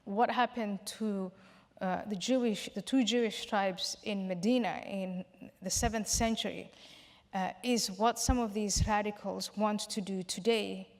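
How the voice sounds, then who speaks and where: A woman from Somalia, a guest in a public question-and-answer session, explaining her points in a measured way with some restarts. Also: mid-range voice, fairly even intonation. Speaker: panel guest. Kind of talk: public Q&A discussion. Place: large hall. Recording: reverberant hall.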